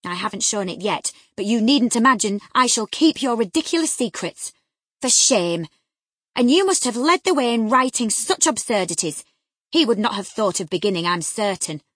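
A woman's voice: medium pitch, lively intonation, measured pace, husky, no echo, studio quality. A woman reading aloud, voicing a stern housekeeper who scolds with scorn and severity. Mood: scolding.

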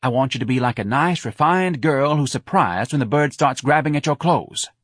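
A man's voice: mid-range voice, expressive, normal speed, a bit bright, breathy edge, no echo, clean studio sound, voice pushed up. A man voicing a hard-nosed, scornful woman who runs a nightclub, snapping out crude orders in an audiobook. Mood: bossy, impatient. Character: hard-nosed, bossy, scornful female nightclub owner Lana.

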